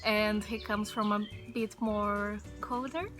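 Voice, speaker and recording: A woman's voice: mid-range voice, fairly even intonation, slow pace, a bit bright, soft background music. A young woman from Brazil with a Brazilian Portuguese accent, chatting casually to camera in English with many fillers, outdoors on a patio. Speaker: vlogger. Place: outdoor patio by a wooden fence.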